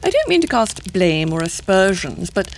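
An actress playing a sly, seductive middle-aged intelligence handler, teasing and insinuating in formal, deliberate diction. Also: female voice, mid-range voice, big pitch swings, slight background noise, rough recording. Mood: coolly needling. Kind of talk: audio drama acting.

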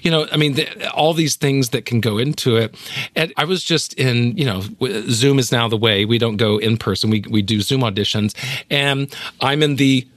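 A man's voice: mid-range voice, normal speed, no echo. A man hosting a casual interview, chatting in an anecdotal way and restating points for emphasis. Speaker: podcast host and actor.

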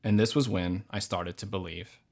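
A man speaking as a novelist guest in a measured, thoughtful way. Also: lowish voice, fairly even intonation, a little mellow. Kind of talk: literary reading and thoughtful interview answers.

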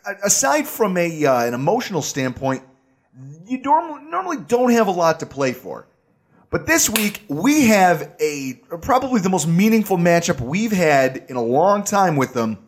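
A young adult man hosting a casual sports podcast, animated and sarcastic, a bit hoarse. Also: sits high. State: slightly hoarse. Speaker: podcast host.

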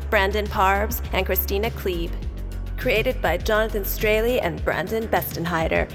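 Female credits reader, medium pitch, neutral scripted delivery, music behind. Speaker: credits announcer.

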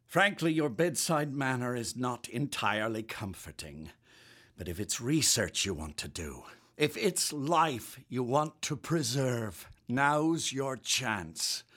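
Worn-out senior doctor, stern, expressive rising and falling delivery, radio drama. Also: male voice, medium pitch, takes it slowly. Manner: stern, tired, authoritative. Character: weary middle-aged male hospital chief doctor.

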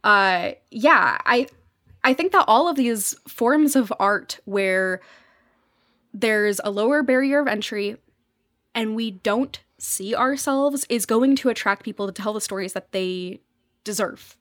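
A woman's voice: a little high, animated, unhurried, fairly bright tone, no room sound, studio quality. A woman speaking as an enthusiastic, informal podcast guest in a casual chat about fandom culture.

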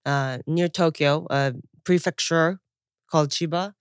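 A woman's voice: low-pitched, steady tone, a little slow, clear, resonant tone, no room sound, studio quality. A woman from Taiwan and Japan, a comedian guest chatting casually in an interview, laughing often and trailing off into fillers.

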